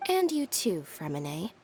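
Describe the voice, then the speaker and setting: A woman playing a composed, blunt young sister in an anime game, deadpan and matter-of-fact. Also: on the low side, slight background noise, exaggerated voice.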